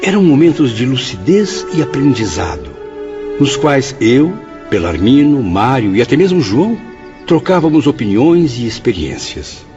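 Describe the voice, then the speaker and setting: An actor playing a melancholy, courteous writer spirit in an audio drama, speaking in a formal, earnest, literary way. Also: male voice, mid-range voice, sing-song, normal speed, close to an everyday voice. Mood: reflective. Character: Camilo, melancholy, courteous convalescent writer spirit, male narrator. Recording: dull audio with music behind.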